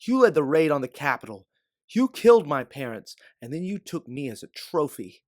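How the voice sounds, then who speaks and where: A man voicing a bitter, defiant young woman who accuses in shouting outbursts. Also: on the high side, very animated, normal speed, dry recording, clean studio sound, pitched above the performer's own voice. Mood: furious.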